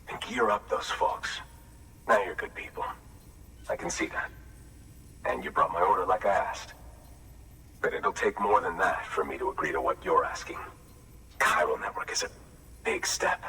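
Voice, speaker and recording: An actor playing a gruff, wary middle-aged survivalist weapon maker in a video game, with a gravelly voice and a folksy, blunt drawl. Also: male voice, low-pitched, sharp tone, full character voice. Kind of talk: video game cutscene acting. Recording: slightly distant mic, faint background noise.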